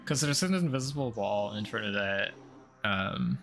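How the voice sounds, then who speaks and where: A man's voice: on the low side, lively intonation, moderate pace, creaky, a bit bright, faint music behind. A man giving casual live commentary as he plays a video game, rambling and thinking aloud in a blunt, informal way. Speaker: streamer doing a game playthrough.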